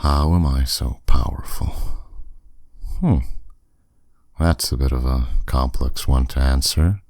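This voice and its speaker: A man playing a powerful, courteous demon captor in an ASMR roleplay, speaking with smooth, teasing, condescending authority. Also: rumbling low, a little mellow, no room sound, studio quality. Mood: calm, matter-of-fact. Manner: smooth, condescending, unhurried, with endearments.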